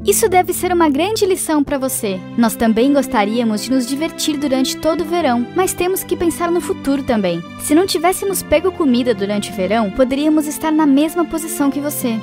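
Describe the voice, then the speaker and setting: A little worker ant from a cartoon fable. The voice is very high and childlike, with an earnest, sensible, slightly instructive manner. Music plays behind it. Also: female voice, lively intonation, fairly quick, hardly any pauses. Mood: earnest, scolding. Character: small hardworking young ant, earnest, sensible, mildly scolding.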